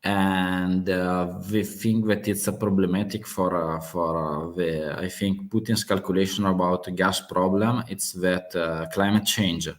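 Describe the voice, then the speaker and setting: A man with a heavy Italian accent speaks in a low, deep register. He talks unhurriedly in English, halting often with fillers and restarts. He sounds like a live-stream host chatting with his audience. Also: fairly even intonation. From Italy. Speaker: host of live geopolitics chat. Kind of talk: casual live-stream talk.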